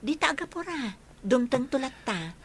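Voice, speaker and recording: A woman's voice: high voice, very animated, moderate pace, slight background noise. An actress playing a kind, patient young woman in a radio drama. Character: kind, patient young fiancée and dutiful daughter. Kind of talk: Ilocano radio drama dialogue.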